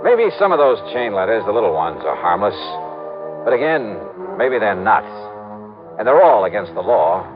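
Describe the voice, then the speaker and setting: A man's voice: lowish voice, very animated, normal speed. A man playing a tough, wry insurance investigator in 1950s radio detective style, clipped and hard-boiled. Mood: earnest warning. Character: tough, wry male insurance investigator narrating his case. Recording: tinny old radio broadcast with music.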